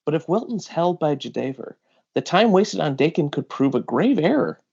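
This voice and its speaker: A man's voice: fairly high pitch, very animated, normal speed, gravelly, darkish tone, slightly rough, heavily acted. A man voicing a level-headed, commanding bounty hunter in a comic readalong, firm and urgent, in slightly dull audio. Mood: earnest.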